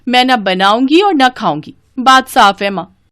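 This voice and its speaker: Woman putting on a high-pitched fussy young bride. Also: lively intonation, moderate pace, fairly bright tone, no room sound, clean studio sound. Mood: fussy, defiant.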